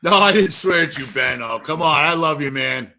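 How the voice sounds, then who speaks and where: A man's voice: on the high side, animated, normal speed, pushed and bright. A man co-hosting a live stream, playful and teasing in casual chat. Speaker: podcast co-host.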